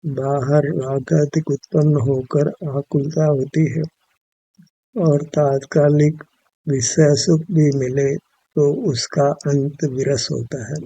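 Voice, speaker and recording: Slow, dark-voiced man guiding meditation. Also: a little high, creaky, no room sound, poor audio. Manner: measured, instructive, formal wording. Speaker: meditation guide. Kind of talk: guided meditation with spiritual discourse.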